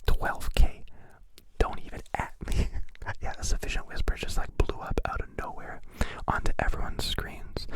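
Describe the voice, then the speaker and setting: A young man hosting an ASMR livestream in a close-miked whisper, chatting playfully and joking with viewers. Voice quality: raspy when soft speaking. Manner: playful, joking, answering chat questions.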